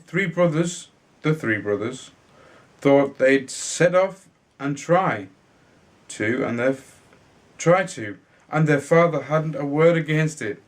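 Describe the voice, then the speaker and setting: A man reading a children's fairy tale aloud in a halting way, sometimes stumbling and repeating words. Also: mid-range voice, darkish tone. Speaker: storybook reader.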